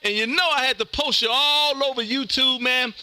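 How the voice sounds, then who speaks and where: American male radio host, high pitched and very bright, folksy and emphatic, recorded dry and close. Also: moderate pace, no echo. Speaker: ham radio show host. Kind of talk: lively amateur radio on-air chat.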